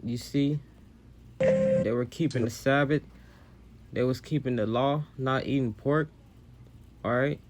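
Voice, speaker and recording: A man's voice: mid-range voice, wildly swooping pitch, a little slow, pauses often, heavy background noise. A man giving sermon-like commentary on video clips, casual and repetitive, often quoting scripture. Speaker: religious commentator.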